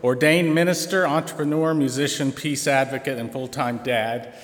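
A man's voice: medium pitch, fairly even intonation, moderate pace. Man, college president, formal award speech, long hall reverb. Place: large auditorium. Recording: reverberant auditorium.

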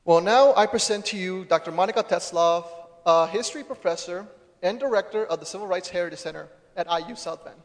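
A young man with a high-pitched voice delivers a prepared speech. Long reverb from a large hall surrounds his words as he addresses the audience as a student leader. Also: brisk. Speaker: student group president.

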